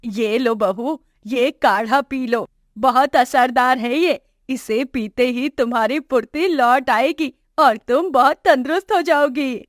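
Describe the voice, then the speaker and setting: Casting: kindly older mother-in-law, acted by a woman, pitched down, motherly, unhurried storybook delivery, dry recording. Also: no room sound. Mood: kind, caring. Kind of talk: acted moral story dialogue for animation.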